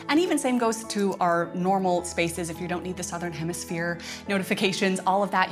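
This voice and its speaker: A woman hosting a casual live-stream update for members, talking in a chatty, informal way. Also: on the low side. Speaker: host, homeschool curriculum creator.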